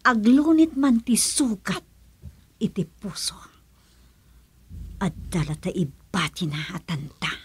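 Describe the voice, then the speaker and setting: A woman's voice: mid-range voice, very animated, slow, pauses often. A woman with a Filipino accent playing a bitter, wounded woman in an Ilocano radio drama, anguished and reproachful.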